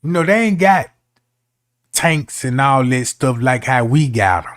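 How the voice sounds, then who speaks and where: A man's voice: mid-range voice, animated, unhurried, dry recording, studio quality. A man giving casual commentary as a streamer, talking in a slangy, argumentative way.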